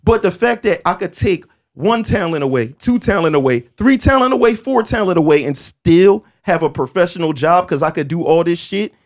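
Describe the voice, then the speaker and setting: A man in his forties with a fairly high voice, holding court on a casual podcast. He talks with emphatic, animated energy, leans on street slang and stumbles into quick restarts before driving his point home. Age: 40s. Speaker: podcast host.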